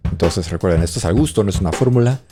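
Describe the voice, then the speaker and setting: A man presenting a music production tutorial, explaining as he demonstrates and thinking aloud in short phrases with pauses. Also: sits low, expressive. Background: drum loops playing.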